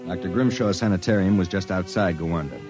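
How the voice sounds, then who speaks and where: An actor playing a cool, wry private investigator, matter-of-fact in speech and narration, in a 1950s radio drama. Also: male voice, low-pitched, quick pace, highs cut off, music in the background, lightly acted. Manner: matter-of-fact, hard-boiled.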